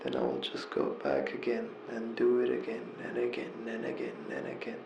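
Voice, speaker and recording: A man giving a heartfelt, confessional voicemail monologue, earnest and reflective, halting as he repeats words and pauses mid-sentence. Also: mid-range voice, big pitch swings, gravelly, lo-fi sound. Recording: roomy echo, music underneath. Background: music.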